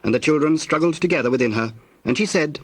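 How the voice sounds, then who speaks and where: A man narrating scripture from a script in a measured, formal storytelling manner. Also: fairly high pitch, no room sound.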